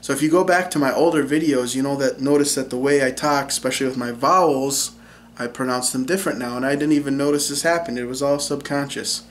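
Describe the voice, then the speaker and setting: A young man with a central Minnesotan accent talks to camera, relaxed and explanatory, with lots of ums and you-knows. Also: mid-range voice, quick pace, a little hiss or hum. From Minnesota, USA. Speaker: linguist and YouTube English teacher. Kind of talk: casual talk to camera.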